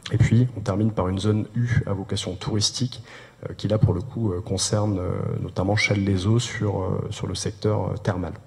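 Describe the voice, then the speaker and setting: Low-voiced man presenting in a reverberant hall. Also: level tone, moderate pace, vocal fry, darkish tone, slight background noise. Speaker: urban planning presenter. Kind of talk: explanatory public presentation of technical planning rules.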